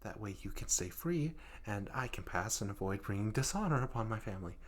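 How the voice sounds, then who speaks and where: A man's voice: lowish voice, animated, a little fast, natural voice. A man voicing a hapless, sweet but clingy young yandere student in a comedic audio roleplay, flustered, stammering and pleading. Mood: flustered bargaining.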